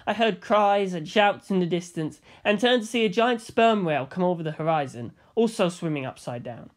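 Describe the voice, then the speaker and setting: An audiobook reader telling a story in the first person. The voice sits at a middle pitch and moves around a lot, giving the narration an expressive, animated feel. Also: normal speed.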